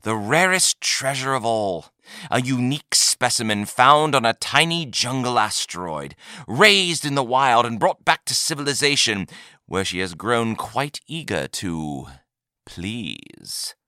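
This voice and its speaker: Sly alien merchant voiced by a man, ingratiating haggler for a sci-fi audiobook, animated and forward in tone. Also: mid-range voice, measured pace, no echo, studio quality, voice pushed up. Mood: enticing. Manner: ingratiating, conspiratorial, dramatic haggling. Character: sly, flattering male alien trader, youthful, theatrical salesman.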